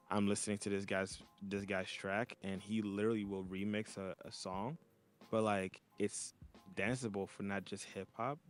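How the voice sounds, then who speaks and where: A man from Montreal chatting casually as a DJ co-host on a video call, rambling and loose with lots of fillers. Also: on the low side. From Montreal, Canada. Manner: laid-back, rambling, many fillers. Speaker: DJ and podcast co-host. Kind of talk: casual chat. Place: home, child playing nearby.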